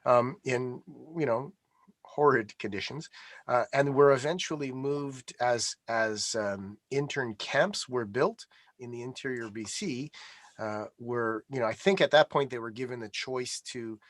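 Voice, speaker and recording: A man's voice: mid-range voice, measured pace, dry recording. A middle-aged man, talking as a guest in a conversational interview, hesitant with many restarts. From Canada.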